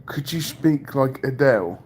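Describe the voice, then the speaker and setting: A man's voice: mid-range voice, animated, a little slow, a little mellow, a little hiss or hum. Joking British man in his forties. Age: 40s. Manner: chatty and joking, reads questions aloud and answers. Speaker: livestream host. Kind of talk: casual live chat reading viewer comments.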